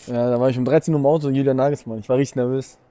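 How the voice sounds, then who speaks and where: A young man, a guest on a football show, giving short, relaxed answers in an indoor hall. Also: medium pitch, racing. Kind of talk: casual chat.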